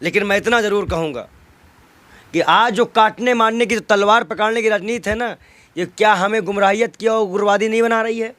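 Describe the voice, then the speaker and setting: A man's voice: high-pitched, a little fast, fairly bright tone, no echo. A man in a heated political interview, arguing emphatically with rhetorical questions and repeated phrases. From North India.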